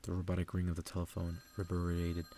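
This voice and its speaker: Low, gravelly man reading softly. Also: completely flat delivery, fast talker, slight background noise. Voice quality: low, gravelly, soft-spoken. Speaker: ASMR reader. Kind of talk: soft ASMR reading of a story.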